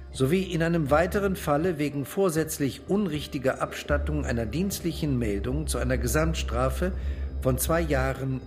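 A man narrating a radio drama in a documentary style, reading formally, factually and precisely. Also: on the high side.

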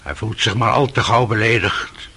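Husky, solemn old monk. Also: male voice, lowish voice, sharp tone, full character voice. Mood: stern, admonishing. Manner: solemn, measured preaching. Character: grave, kindly, admonishing old holy monk. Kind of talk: radio play acting.

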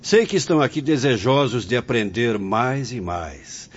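A man's voice: medium pitch, expressive, moderate pace, close to an everyday voice. A Brazilian man acting a kindly, authoritative priest spirit in a radio drama, speaking formally. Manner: formal, benevolent. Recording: dull lo-fi radio drama, faint music.

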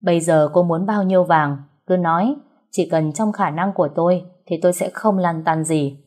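Woman doing an effusive, grateful gentleman official, husky. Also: lowish voice, normal speed, lightly acted. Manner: exclaiming, deferential. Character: effusive, grateful male household master, a district official.

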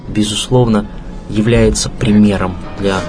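A man with a low voice offers a reflective tribute. He speaks haltingly, phrase by phrase, with music clearly playing behind him. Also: flat delivery. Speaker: interviewee, colleague giving a tribute.

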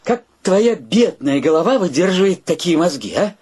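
Blustering sarcastic bachelor, high husky voice, theatrical radio-play exclamations. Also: male voice, very animated, moderate pace, a little mellow, full character voice. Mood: mocking. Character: sarcastic, excitable bachelor friend, blustering amateur cook. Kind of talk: Russian radio play acting.

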